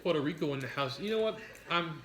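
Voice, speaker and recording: A man's voice: a little high, animated, fast, fairly bright tone. A man chatting casually and bluntly over food, with some swearing. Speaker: mukbang co-host. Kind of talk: casual chat while eating. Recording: echoey room with busy background chatter.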